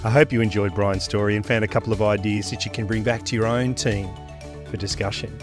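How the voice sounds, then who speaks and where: A man's voice: fairly low pitch, steady tone, fast talker. A man hosting a podcast, wrapping up an interview and thanking his guest in a polished way. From Australia. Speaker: podcast host. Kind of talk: interview wrap-up and outro. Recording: dry close mic, faint music behind.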